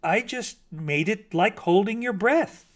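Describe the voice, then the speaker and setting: A man's voice: high-pitched, expressive, a little slow, pitched above the performer's own voice. A man voicing a small male bat poet in a storybook, earnest, naive and hesitant, easily wounded. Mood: bewildered, timid.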